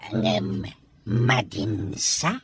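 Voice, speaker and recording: A woman playing a teasing, hospitable older country man in an audio drama, with a drawn-out, coaxing delivery. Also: very deep voice, animated, a crowd in the background, exaggerated voice. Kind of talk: Ilocano audio drama acting.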